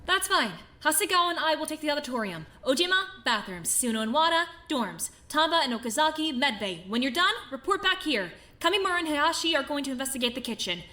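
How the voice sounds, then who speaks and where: A woman playing a brisk, bossy, impatient young student leader in an animated mystery, speaking in curt, commanding phrases. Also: high voice, heavily acted. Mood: brisk, businesslike. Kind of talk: dramatic animated mystery voice acting.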